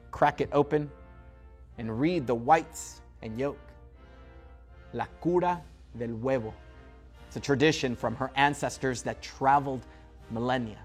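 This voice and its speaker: A man performing theatrical spoken word, telling a story with a dramatic rhythm and sharp swings in loudness. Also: medium pitch.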